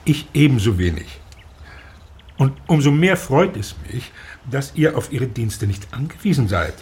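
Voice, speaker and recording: An actor playing a middle-aged husband and father in a period radio drama, dry, sardonic and measured, with a husky voice. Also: medium pitch, big pitch swings, a little mellow, full character voice. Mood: deadpan sly.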